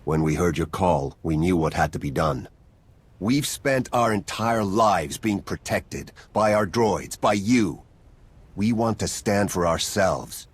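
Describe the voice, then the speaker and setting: A man's voice: deep voice, slow, breathy edge, dry recording, full character voice. A man playing an earnest, resolute rebel in a video game.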